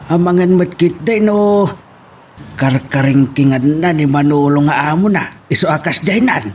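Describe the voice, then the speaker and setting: A man's voice: fairly high pitch, moderate pace, a little mellow, a little hiss or hum, lightly acted. An Ilocano man acting a worried older husband and father in a family drama, speaking hesitantly. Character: worried old husband and father of a grown daughter.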